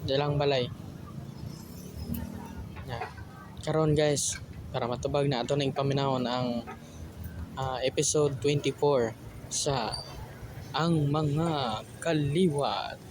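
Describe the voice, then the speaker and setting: Male online host, unhurried casual intro, medium pitch, very noisy street recording. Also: steady tone. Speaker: YouTube host.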